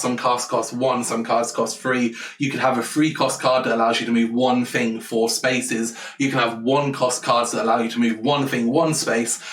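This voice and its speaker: A man's voice: mid-range voice, a bit bright, a little hiss or hum. Eager man, roomy and distant. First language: English. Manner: enthusiastic, rambling, answers chat. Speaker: game designer streaming live. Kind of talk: live-stream brainstorming, thinking aloud.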